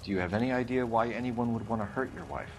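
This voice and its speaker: A man's voice: mid-range voice, steady tone, fast talker, vocal fry, slight background noise, close to an everyday voice. Stern American police detective played by a man. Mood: formal, polite. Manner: clipped, formal, probing. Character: stern, driven male police homicide detective questioning suspects. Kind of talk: video game dramatic acting, interrogation.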